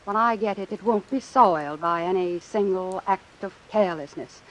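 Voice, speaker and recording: A woman's voice: mid-range voice, moderate pace, close to an everyday voice, poor audio. An actress playing an embittered, ambitious woman doctor in an old-time radio suspense drama, speaking in a cool, clipped, sardonic way. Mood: bitter, resolute. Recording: hissy vintage radio recording with rain. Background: hiss and falling rain.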